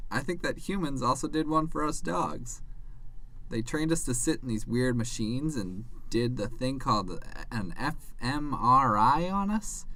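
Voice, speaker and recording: A man voicing an earnest, slightly naive and devoted pet dog in an animated conversation. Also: medium pitch, poor audio. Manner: earnest, puzzled, eager.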